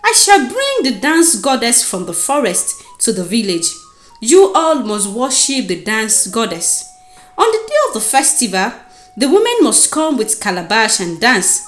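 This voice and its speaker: Woman acting a declamatory village chief priest, very animated. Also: fairly high pitch, a little slow, roomy sound, soft background music. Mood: solemn. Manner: ceremonial, declamatory.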